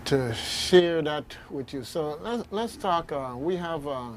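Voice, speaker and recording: A man with a Caribbean accent hosting an informal radio discussion in a halting, reflective way. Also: fairly high pitch, lively intonation. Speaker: radio host. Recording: faint background noise.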